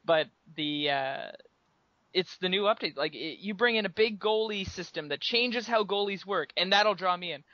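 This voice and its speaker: Bright youthful-sounding podcast man. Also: high-pitched, moderate pace, no echo. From Canada. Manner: youthful-sounding, upbeat and chatty. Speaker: podcast co-host. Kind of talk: casual gaming chat. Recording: dull lo-fi podcast audio.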